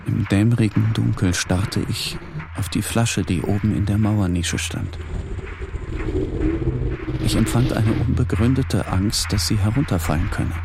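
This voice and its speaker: A man narrating a dark literary text in the first person, with brooding, deliberate phrasing. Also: lowish voice, gravelly. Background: music.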